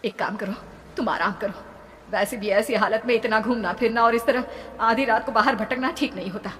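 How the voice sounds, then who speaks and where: A woman's voice: on the high side, fairly quick, fairly bright tone, pitched above the performer's own voice, lightly acted. A woman voicing a kindly, anxious aunt in a horror story, concerned and questioning. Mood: concerned, caring. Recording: long reverb, background noise.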